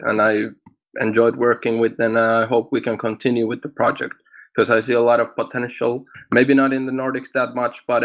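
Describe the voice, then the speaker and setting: A man's voice: medium pitch, a bit bright. A man from Finland in an online community discussion, speaking plainly and a little hesitantly. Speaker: community member.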